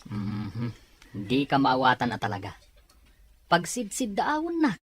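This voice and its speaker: A man's voice: mid-range voice, wildly swooping pitch, measured pace, breathy edge, dry recording, full character voice. A Filipino man playing a nosy, teasing young best friend in an audio drama. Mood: teasing, curious. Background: music.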